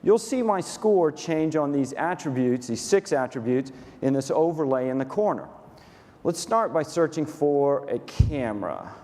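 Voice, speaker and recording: A man with a Texas accent, a company COO walking through a software demo onstage in a dry, matter-of-fact, methodical way. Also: fairly high pitch, animated, a little slow. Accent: Texan. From Texas, USA. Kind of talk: staged product demo presentation. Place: conference stage in a large hall. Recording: reverberant conference hall.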